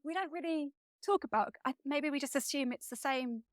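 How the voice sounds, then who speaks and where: A woman speaking as a guest author in a reflective interview, thoughtful and often pausing to search for her words. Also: high voice, dry recording, studio quality.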